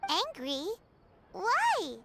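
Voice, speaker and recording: A woman voicing a tiny, excitable fairy companion in a video game, childlike and squeaky. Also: drawn-out words, heavily acted. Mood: puzzled, alarmed. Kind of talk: cartoonish video game voice acting. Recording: game dialogue under loud music.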